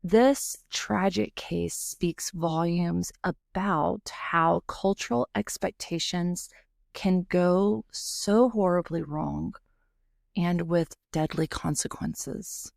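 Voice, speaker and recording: A woman co-hosting a podcast, reading a true-crime story in a slow, measured, somber way. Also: lowish voice, creaky, a little mellow, no echo, clean studio sound. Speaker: podcast co-host. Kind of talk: scripted true-crime narration.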